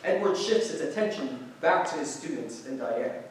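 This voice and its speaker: A man narrates a play script's stage directions. His voice is a little high for a man. It is picked up at a distance, with heavy echo and faint noise. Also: expressive, normal speed. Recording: very echoey room, distant mic, faint noise.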